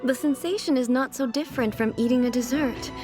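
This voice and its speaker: A woman's voice: high-pitched, a little fast, lightly acted. A woman voicing a regal, composed ruler in a video game, stately, formal and bluntly curious. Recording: music behind the voice.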